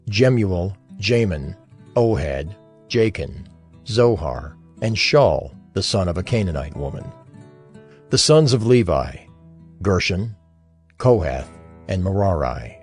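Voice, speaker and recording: A low-pitched male narrator reads very slowly and names each person in turn. His intonation stays expressive throughout the list. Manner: deliberate, listing names one by one. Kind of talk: scripture reading of a genealogy.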